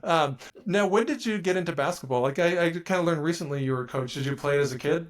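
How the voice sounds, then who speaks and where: A man's voice: a little high, fast. A man hosting a podcast, teasing his guest with jokes and stumbling over repeated words. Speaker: podcast host. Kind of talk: casual chat.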